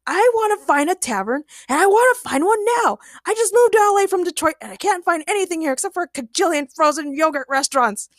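A woman voicing a proud, loud, excitable man who loves to argue, in a fan fiction reading. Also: very high-pitched, moderate pace, hardly any pauses, dry recording, clean studio sound, pitched above the performer's own voice, exaggerated voice. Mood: indignant, shouting. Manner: shouting, stammering when caught out, boastful.

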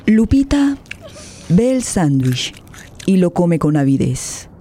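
An actress playing a grave narrator in a tragic radio play, solemn and measured in her descriptions. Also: fairly low pitch, sing-song, dark tone, slight background noise, heavily acted. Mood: grave, tense.

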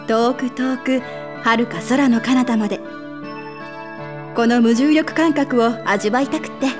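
A woman's voice: a little high, fairly even intonation, unhurried. A woman narrating a dreamy travel commercial. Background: music.

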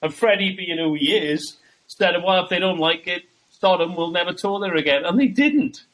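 A man's voice: high voice, normal speed, a bit bright, low-quality recording. A man from Wales, chatting casually as a guest on a podcast and laughing between his stories. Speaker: guest, tribute band singer.

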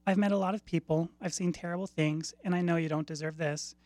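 A woman reading the part of a calm, compassionate female spirit of death in a short story, consoling and gentle. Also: lowish voice, fairly quick, no echo, close to an everyday voice.

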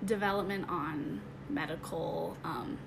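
A woman's voice: sits low, measured pace, vocal fry. A nineteen-year-old woman who grew up in Nepal, guesting on a podcast, hesitant and thoughtful with many fillers. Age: teens. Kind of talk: casual interview chat. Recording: background noise.

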